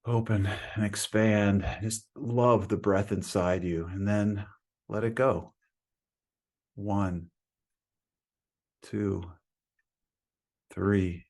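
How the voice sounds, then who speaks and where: A man with a fairly low voice guides a meditation at a very slow pace. His delivery is calm and soothing, leaving long, restful gaps between short phrases and counts. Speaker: meditation teacher.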